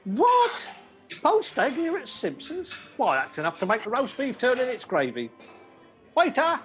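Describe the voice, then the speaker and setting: A woman's voice: high voice, huge pitch swings, a little slow, faint music behind, heavily acted. A woman playing a breezy, chatty male detective who asks probing questions in a radio play. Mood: jovial. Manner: jovial, inquisitive.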